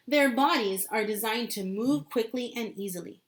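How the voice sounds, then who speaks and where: Higher-pitched woman teacher reading aloud. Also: moderate pace. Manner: repeats and rephrases key points for children. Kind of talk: read-aloud lesson with simple explanatory asides.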